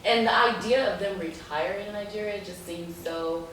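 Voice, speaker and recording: A woman's voice: mid-range voice, moderate pace, fairly bright tone. Wry woman guest, echoey room. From United States. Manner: wry, laughs while talking. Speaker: guest, attorney. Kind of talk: casual conversation. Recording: echoey room, distant mic, faint noise.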